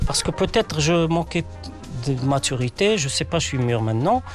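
A man's voice: on the high side, lively intonation, moderate pace, soft background music. A man whose first language is Arabic, a playwright answering interview questions in a hesitant way, with many fillers and restarts. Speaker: playwright guest.

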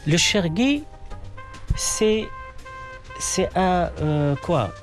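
A man's voice: high-pitched, big pitch swings, extremely slow, faint music behind. A man whose first language is Arabic, a playwright answering interview questions in a hesitant way, with many fillers and restarts. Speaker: playwright guest.

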